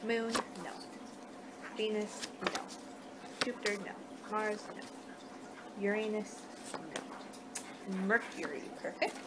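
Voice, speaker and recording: A young woman doing an improvised ASMR roleplay, muttering in an unhurried, distracted way on a noisy amateur home recording. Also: medium pitch. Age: young adult. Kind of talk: ASMR roleplay, improvised reading of fortune cards. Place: small home room, indoors. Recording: noisy amateur webcam-style room recording.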